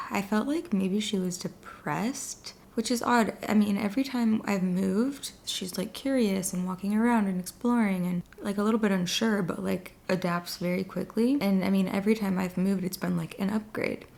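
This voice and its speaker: Solo female podcast host, casual rambler, voice tired and raspy with heavy vocal fry. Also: medium pitch, normal speed, hardly any pauses, darkish tone. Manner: rambling, filler-heavy talk with frequent "like". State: voice worn and slightly raspy from long use. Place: at home in her new apartment, late at night.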